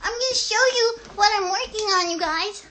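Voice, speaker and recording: High-pitched little girl puppet. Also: normal speed, bright, forceful tone, a little hiss or hum. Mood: eager, rambling. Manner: childlike, rambling, hesitant with ums and likes. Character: excitable, chatty little girl puppet showing her room. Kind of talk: improvised live children's puppet show.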